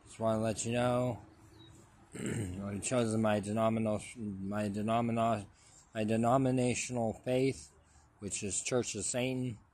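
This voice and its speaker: Man, low voice, flat, rambling, restarts, phone at arm's length, outdoors. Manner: repetitive, halting restarts. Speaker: vlogger. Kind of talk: rambling selfie plea to camera. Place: outdoors under a tree. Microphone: phone held at arm's length.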